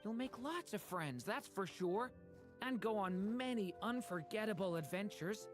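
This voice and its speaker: Woman doing a kind game sidekick, bouncy reassuring voice, quiet music. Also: medium pitch, animated, a little slow, close to an everyday voice. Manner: warm and encouraging.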